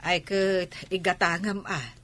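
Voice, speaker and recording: A woman's voice: lowish voice, fairly even intonation, unhurried. A woman playing a bossy, nagging village wife with an Ilocano Filipino accent in a comic radio drama. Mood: chatty. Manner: sharp, nagging.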